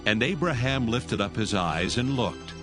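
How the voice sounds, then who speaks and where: A man's voice: medium pitch, animated, a little slow, over music. A man narrating scripture as a scripted reading.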